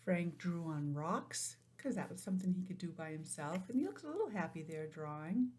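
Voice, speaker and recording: A woman teacher reading a picture book aloud to young children, stopping for simple, chatty explanations in an animated manner. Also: on the low side, normal speed, slight background noise. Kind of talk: storybook read-aloud with chatty asides.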